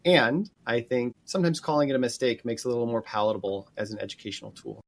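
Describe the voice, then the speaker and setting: A man's voice: medium pitch, fairly even intonation, quick pace, gravelly. A man co-hosting a podcast, giving his opinion in a conversational commentary style. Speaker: podcast co-host.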